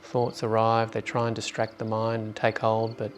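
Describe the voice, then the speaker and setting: A man leading a guided meditation in an informal, unhurried way with long pauses. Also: fairly low pitch, monotone, a little hiss or hum.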